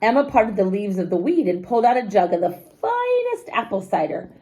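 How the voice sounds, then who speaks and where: A woman reading a children's story aloud expressively, pausing to explain things simply to young listeners. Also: mid-range voice. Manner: animated, unhurried, explains words simply to children.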